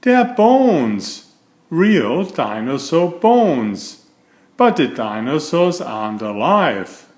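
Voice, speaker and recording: A man's voice: fairly high pitch, very animated, extremely slow, a little mellow, roomy sound, pitched above the performer's own voice, exaggerated voice. A man voicing a timid, easily startled young turtle schoolboy in a storybook, hesitant and wide-eyed.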